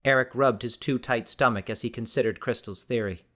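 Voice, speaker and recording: A man's voice: mid-range voice, steady tone, a little fast, pushed and bright. Man narrating a dramatic audiobook, mid-range voice. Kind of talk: scripted dramatic story narration.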